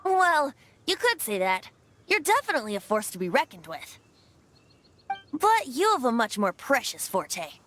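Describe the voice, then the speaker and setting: A woman's voice: fairly high pitch, huge pitch swings, unhurried, pauses often, a bit bright, slight background noise, full character voice. A woman voicing a playful, breezy young male bard god in a video game, in a coy, theatrical manner. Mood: confident, playful. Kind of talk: scripted video game voice acting.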